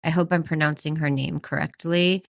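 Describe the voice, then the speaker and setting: A woman's voice: sits low, fairly even intonation, moderate pace, creaky. A woman co-hosting a book podcast, talking conversationally and enthusiastically as she recommends books. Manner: enthusiastic, chuckles often.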